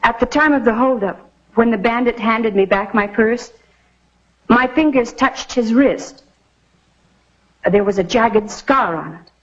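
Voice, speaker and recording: A woman's voice: on the high side, a little slow, long pauses. An actress playing an earnest, kindly young blind woman of the Old West in a dated western drama. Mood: firm, insistent. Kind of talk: old-time western television drama acting. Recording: muffled vintage soundtrack with music.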